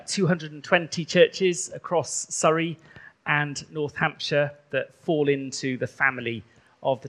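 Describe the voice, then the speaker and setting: A man from rural Wales, a bishop whose first language is Welsh, giving a chatty sermon in a church with many ums. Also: fairly high pitch. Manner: warm, conversational preaching with frequent ums.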